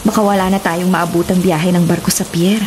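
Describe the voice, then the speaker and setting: A woman's voice: fairly low pitch, flat delivery, fairly quick, a little mellow, slight background noise, natural voice. A woman playing a determined, wry travel vlogger in her late twenties in a radio drama, with a Filipino accent. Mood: resigned, practical.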